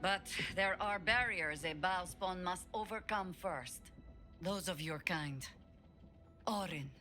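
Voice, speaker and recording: Game companion: steadfast woman protector, forceful clear-edged tone, slightly low, earnest counsel over music. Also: takes it slowly. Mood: solemn reassurance. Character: solemn, steadfast female guardian companion. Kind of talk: video game character acting.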